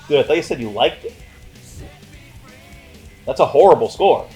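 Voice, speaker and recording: A man's voice: medium pitch, animated, moderate pace, a little mellow, faint music behind. A man co-hosting a casual movie review, emphatic and opinionated as he banters. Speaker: movie review show co-host.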